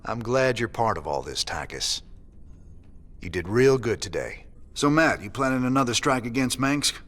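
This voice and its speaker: A man's voice: medium pitch, very animated, moderate pace, a bit bright. An actor playing a weary, plainspoken male rebel commander with a laid-back drawl.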